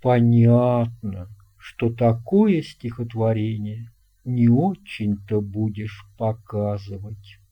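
A narrator reading a children's tale in a measured, expressive storytelling manner. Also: mid-range voice, slightly rough, muffled recording. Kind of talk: scripted audiobook narration of a children's fairy tale.

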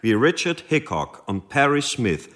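A hard-pressing detective in a radio play. His pitch swings widely as he leans on each point, and he spaces his accusations for weight. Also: a little slow. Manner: lively, emphatic, building pressure. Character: sharp, confrontational male lead investigator. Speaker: police detective. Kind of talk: radio drama acting.

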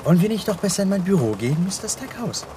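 An actor playing a wily, patronising police lieutenant in a radio crime drama, probing with false friendliness. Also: male voice, sits high, big pitch swings, quick pace, over music. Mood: sardonic.

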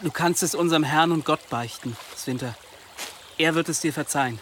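An actor playing a secretive, pious young lover in a German audio drama, speaking in an earnest, pleading, hushed way. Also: male voice, fairly high pitch, moderate pace, a little hiss or hum, lightly acted. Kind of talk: German audio drama acting, intimate dialogue.

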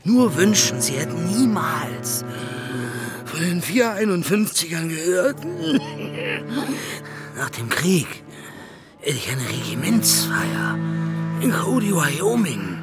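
An actor playing a dying older army colonel, delirious, fatherly and proud, speaking haltingly in a radio drama. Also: a little high, big pitch swings, heavily acted. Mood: feverish pride. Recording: loud music behind the voice.

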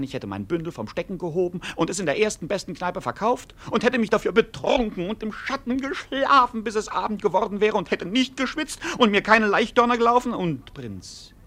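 Theatrical cynical court fool, high voice, wildly swooping delivery, mock-laments and grand exclamations, classic radio play. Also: male voice, sing-song, a little fast, a bit bright, heavily acted. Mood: grumbling, mock-philosophical. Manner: exclamatory, mock-lamenting, swinging between groans and grandiose outbursts. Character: theatrical male jester servant, whining, cynical, mock-philosophical.

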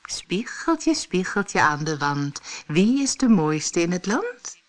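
A woman's voice: lowish voice, very animated, takes it slowly. A woman voicing a vain, cruel queen and wicked stepmother in a fairy tale audiobook, with an imperious manner. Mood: vain, whispering. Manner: imperious, incantatory, whispery at the mirror.

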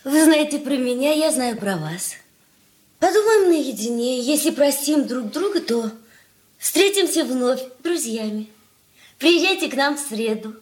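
A proud, self-possessed young fiancée in a Soviet radio drama. Her voice is high, and she speaks with cool, formal composure and clipped curtness. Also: animated, a little slow. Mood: cool, deliberate.

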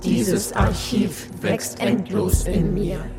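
Brief: grandiose machine in a radio play, high voice, heavy music under it. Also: male voice, slow. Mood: ominous. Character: vast brooding machine archive, male, menacing. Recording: music loud behind.

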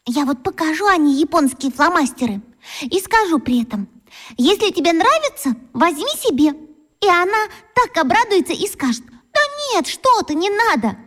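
Cast: a dreamy young schoolgirl in a radio play, very high, earnest and wistful. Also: big pitch swings, moderate pace, a bit bright, heavily acted. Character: shy, dreamy little schoolgirl longing for a friend.

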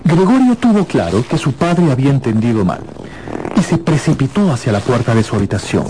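Lively, dramatic male radio narrator. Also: mid-range voice, background noise. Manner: theatrical, suspenseful. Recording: radio production with loud music bed.

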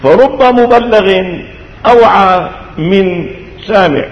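An older man with a Syrian Arabic accent preaching in a mosque, teaching scripture in a didactic, measured way with deliberate phrasing. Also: high-pitched. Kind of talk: mosque sermon explaining scripture. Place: large reverberant mosque. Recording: dull echoey hall recording, long reverb.

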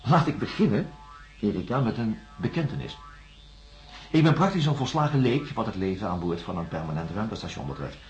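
An actor playing a calm, patient male psychiatrist in a science fiction radio drama, speaking formally and deliberately. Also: on the low side. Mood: calm, probing. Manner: measured, formal, deliberate phrasing with pauses. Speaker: doctor. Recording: dull lo-fi radio recording, music.

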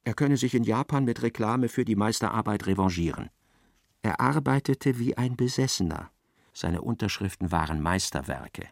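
An actor playing a dry storyteller, giving measured, precise literary narration in a radio play. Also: male voice, fairly low pitch, expressive, vocal fry, dry recording. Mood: measured, emphatic.

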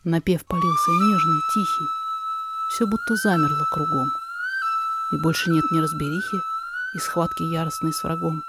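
A woman narrator reading a poetic story aloud in expressive, measured, dramatic verse. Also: low-pitched, over loud music.

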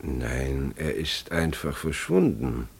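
An actor playing a grave, bewildered family father who tells his story haltingly and deliberately in a German radio play. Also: extremely low pitch, huge pitch swings, creaky, breathy edge, full character voice. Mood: grave, resigned.

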